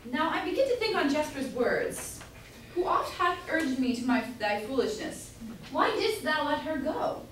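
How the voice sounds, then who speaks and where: A woman's voice: high-pitched, sing-song, normal speed. Aggrieved elderly merchant played by a woman, light voice, declamatory and indignant stage comedy, very roomy sound. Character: aggrieved old merchant father, scolding and lamenting. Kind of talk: amateur staged reading of Jacobean comedy. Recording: roomy, distant mic, faint noise.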